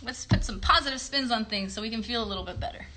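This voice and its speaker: A woman's voice: fairly high pitch, fast, background noise. A woman in her thirties chatting encouragingly with children as a puppet show host, with simple questions and praise. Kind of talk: casual, encouraging chat with children. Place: bedroom at home. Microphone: phone a short distance away.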